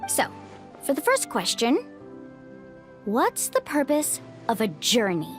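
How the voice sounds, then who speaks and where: Young female game guide, high animated voice, cheerful, outgoing, encouraging. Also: takes it slowly, soft background music, full character voice. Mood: upbeat, playful. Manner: upbeat, encouraging. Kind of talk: video game voice acting.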